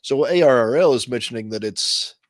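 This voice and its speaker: A man with a medium-pitched voice talks casually as a co-host on a tech panel show. The recording is dry, with no room sound around him. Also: lively intonation, brisk, dry recording.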